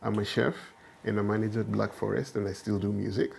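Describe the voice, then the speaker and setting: A man's voice: fairly low pitch, flat delivery, fast talker, creaky, darkish tone, husky. A man with a Kenyan accent telling his personal story in a casual interview, reflective and repeating phrases for emphasis. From Nairobi, Kenya. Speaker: interviewee, groom, chef and musician.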